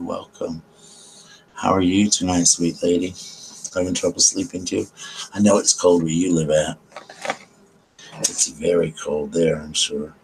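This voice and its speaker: A man with a very deep, low voice talks gently in a near-monotone, filling pauses with soft ums. He chats with his late-night viewers in a quiet ASMR style, and a faint hiss sits under his voice. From South Carolina. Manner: talks low and slow, many ums, greets viewers tenderly. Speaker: host of a late-night live chat stream.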